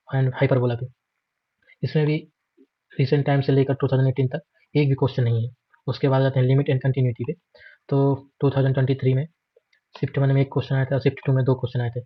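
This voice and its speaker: A man's voice: mid-range voice, no room sound, highs cut off. An Indian man giving an informal, matter-of-fact exam prep walkthrough, listing items repetitively and mixing in English terms. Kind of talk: informal screen walkthrough lesson.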